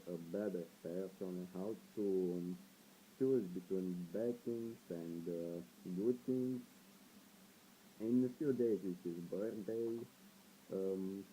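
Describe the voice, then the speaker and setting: A shy seventeen-year-old boy with a Romanian accent, introducing himself in a hesitant video blog full of pauses and fillers. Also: deep voice, warm tone, a little hiss or hum, low-quality recording. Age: teens. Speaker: vlogger.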